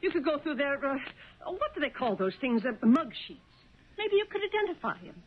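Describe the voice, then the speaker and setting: Caring wife, higher voice, dull radio. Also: female voice, very animated, a little fast. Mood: insistent, exasperated. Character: caring, insistent wife of a cab driver. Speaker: radio drama actor. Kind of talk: 1970s radio drama acting. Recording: dull, noisy 1970s radio broadcast.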